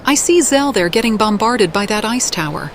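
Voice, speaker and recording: A woman's voice: fairly high pitch, lively intonation, moderate pace, slight background noise. A woman giving in-character role-play commentary over a video game, with game ambience behind her. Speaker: gaming video narrator playing in character.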